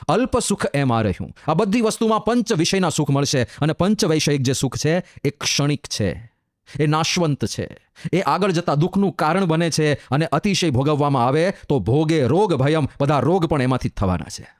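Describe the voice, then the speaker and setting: A man giving a devotional sermon as a monk, chanting verses slowly and explaining them with emphatic, rising repetition. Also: fairly high pitch, animated, no echo, clean studio sound.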